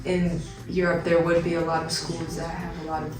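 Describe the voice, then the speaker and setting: A woman's voice: fairly low pitch, completely flat delivery, brisk, noisy background. A young American woman from New York explaining her exchange thoughtfully. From Long Island, New York. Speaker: exchange student. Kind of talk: casual chat. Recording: echoey room, distant mic, music behind.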